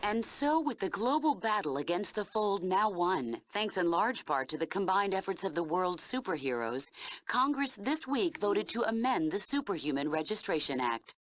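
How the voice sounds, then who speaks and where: A poised female news anchor, played by an actress in a video game. She reads formally, with lively intonation at a medium pitch. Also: normal speed, natural voice. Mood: formal, upbeat. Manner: polished, formal. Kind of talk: scripted news report in a game.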